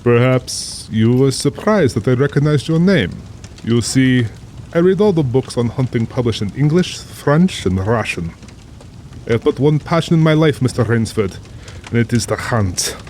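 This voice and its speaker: A man's voice: mid-range voice, expressive, moderate pace, darkish tone, lightly acted. Guy acting cultured proud russian general, formal and self-assured, audiobook drama, bit of ambient noise behind. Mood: proud, intense. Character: suave, cultured Russian general, proud big-game hunter, menacing host.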